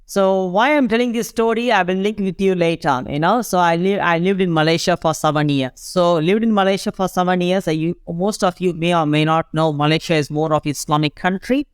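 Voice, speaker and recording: A podcast host in their fifties with a South Indian English accent, speaking Tamil as a first language. The voice is smooth and resonant, with a dry, close sound and no room around it. The delivery is slow and wandering, full of restarts and corrections as the host works toward each point. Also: mid-range voice, no echo. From Tamil Nadu, India. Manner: rambling, repeats and corrects itself, slow deliberate phrasing. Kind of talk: motivational podcast monologue.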